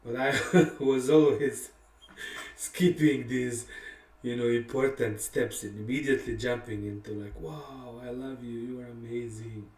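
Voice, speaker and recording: A middle-aged man, a songwriter, casually telling the personal story behind one of his songs, reflective and candid, pausing often and laughing at himself. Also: medium pitch, fairly even intonation, darkish tone. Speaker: songwriter and musician. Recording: roomy sound from a distant mic.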